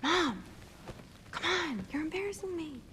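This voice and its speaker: High-voiced, headstrong teenage goddess. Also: female voice, big pitch swings, measured pace, pushed and bright, long reverb tail, a little hiss or hum, heavily acted, poor audio. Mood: embarrassed. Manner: defiant, earnest, impatient. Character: headstrong teenage goddess daughter, defiant and earnest. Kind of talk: dramatic game cutscene acting.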